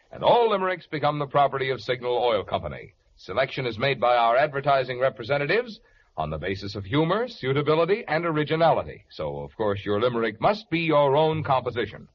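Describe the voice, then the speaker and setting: A man announcing for a sponsor in a 1940s radio advertisement, with jovial, polished salesmanship. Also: medium pitch. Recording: dull, faintly noisy vintage radio recording.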